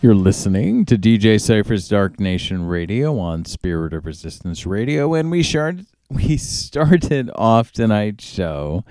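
A middle-aged man hosting a music radio show, back-announcing tracks in a relaxed, rambling way and spelling out band names. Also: mid-range voice, creaky, no echo, studio quality.

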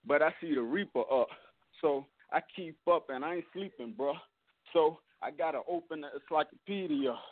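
Brief: male rapper caller, slightly high voice, tinny dry phone line. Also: dry recording. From Virginia. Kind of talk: freestyle rap over a call.

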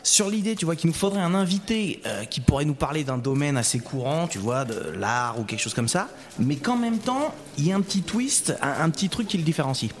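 Fairly high-voiced man, hesitant host. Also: expressive, a little fast, hardly any pauses, big-room sound. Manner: hesitant, many fillers and restarts. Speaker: podcast host, interviewer. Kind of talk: casual interview chat.

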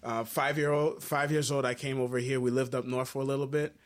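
A man's voice: medium pitch, level tone, fairly quick. A man from Haiti who is a musician, talking earnestly and reflectively in a casual interview, with many fillers and restarts. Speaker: musician guest.

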